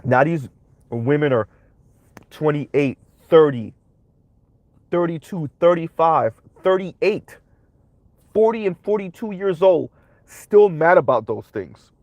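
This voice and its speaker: A man's voice: a little high, expressive, slow, long pauses, darkish tone, no echo, muffled recording. A young man with a cold and a stuffy voice, hosting a livestream of emphatic social commentary full of tag questions. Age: young adult. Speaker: commentary channel host.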